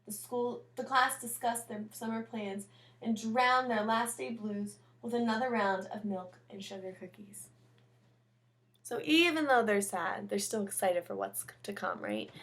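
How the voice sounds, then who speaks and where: A woman teacher reading a picture book aloud, pausing to explain and comment on the pictures as she goes. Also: mid-range voice, a bit bright. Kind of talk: storybook read-aloud with chatty asides.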